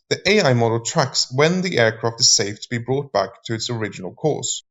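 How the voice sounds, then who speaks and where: A man narrating a scripted explainer as a project presenter, in a measured, formal way. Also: medium pitch, fairly bright tone.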